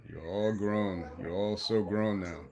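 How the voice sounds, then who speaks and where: A man's voice: fairly low pitch, steady tone, a little slow, crowd noise. A man leading an online class, welcoming students and teasing them with a laugh. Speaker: program instructor.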